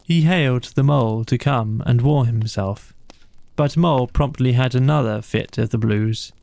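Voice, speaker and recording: A man's voice: mid-range voice, normal speed, vocal fry, a little mellow. A man narrating a bedtime story from a script as an audiobook reader.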